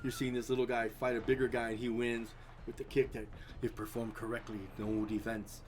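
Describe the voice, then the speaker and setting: A man's voice: mid-range voice, level tone, a little fast, darkish tone, slight background noise. Easygoing middle-aged man, voice drying out. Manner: easygoing, rambling storyteller. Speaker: guest. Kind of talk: casual chat.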